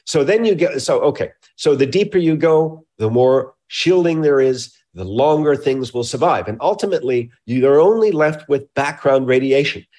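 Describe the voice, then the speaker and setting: A man with a mid-pitched voice speaks as an expert guest in a science interview. He explains things in technical terms, choosing precise words and stressing key points. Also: animated, normal speed, hardly any pauses, a little mellow.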